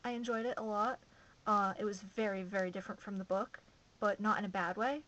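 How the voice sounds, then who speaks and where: A woman's voice: medium pitch, steady tone, brisk, a bit bright, highs cut off. A young woman from Massachusetts chatting casually on her home video blog, gushing and rambling with enthusiasm, recorded on a camera mic. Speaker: vlogger.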